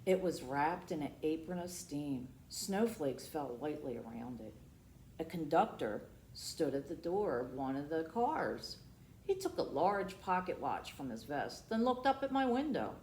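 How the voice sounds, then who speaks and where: A woman hosting a storytime, reading aloud expressively for children and dropping in asides, moving between hushed and emphatic delivery. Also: low voice, very animated, measured pace. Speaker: library storytime host. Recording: roomy echo with faint background noise.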